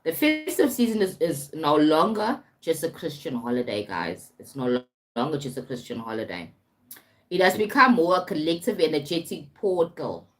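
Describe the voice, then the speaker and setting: A woman with a low-pitched voice and a South African accent speaks as a life coach on an informal livestream. She is emphatic, repeats her phrases and often tags them with a short check-in to her listeners. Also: animated, normal speed. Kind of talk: informal motivational livestream talk.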